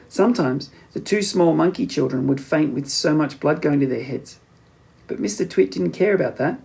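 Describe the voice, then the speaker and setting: A man reading a children's story aloud as an expressive scripted narration. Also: fairly high pitch, gravelly, rough recording. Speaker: audiobook narrator.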